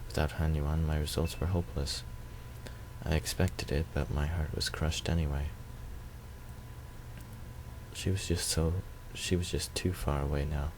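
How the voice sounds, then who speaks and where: A man's voice: a bass voice, level tone, a little slow, long pauses, a little mellow, a little hiss or hum, rough recording. A man reading first-person visual novel narration aloud.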